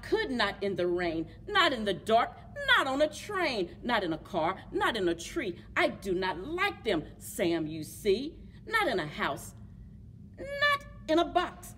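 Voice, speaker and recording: Grumpy rhyming refuser read by a woman: emphatic, slow, swooping pitch, clear room noise. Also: very animated, pushed and bright, exaggerated voice. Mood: grumpy refusing. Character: stubborn, grumpy creature refusing food. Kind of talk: rhyming picture-book read-aloud. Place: public library children's book corner.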